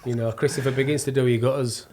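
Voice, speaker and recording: A man in middle age speaks with a Yorkshire accent at an ordinary male pitch. He chats informally, telling animated stories with a joking, self-deprecating streak. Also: fast, a little hiss or hum. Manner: jokey, self-mocking storyteller. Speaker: podcast guest, actor and plasterer. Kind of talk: casual chat, animated anecdote telling.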